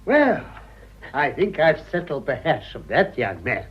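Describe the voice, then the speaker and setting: A man playing a smug, scheming English gentleman with a Received Pronunciation accent in a vintage radio drama, pompous and theatrical. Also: a little high, big pitch swings, measured pace, husky, heavily acted. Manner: pompous, self-congratulatory, theatrical. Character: smug, scheming upper-class English gentleman, pleased with himself. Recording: muffled old radio recording.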